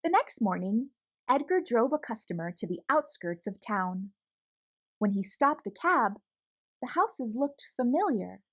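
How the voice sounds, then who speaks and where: A woman reading a children's picture book aloud in an expressive, animated storytelling manner. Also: fairly high pitch, a little slow.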